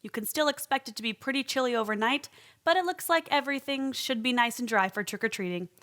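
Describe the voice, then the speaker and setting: An actress voices a woman announcing on local public radio. Her voice sits a little high, and she reads scripted items in an informative, conversational manner. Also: fast talker, fairly bright tone, close to an everyday voice.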